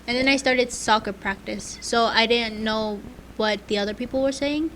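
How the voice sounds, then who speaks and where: A woman's voice: on the high side, normal speed, a bit bright. A young woman whose first language is Spanish, co-hosting a student podcast and telling stories in casual chat with hesitant fillers. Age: young adult. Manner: chatty, storytelling, hesitant fillers. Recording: faint background noise.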